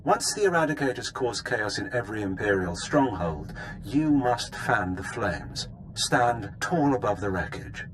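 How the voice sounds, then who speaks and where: An actor playing a fervent rebel mastermind rallying followers in a game cutscene, oratorical and deliberate. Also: male voice, on the low side, very animated, background noise. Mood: fervent, commanding. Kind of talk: video game cutscene acting.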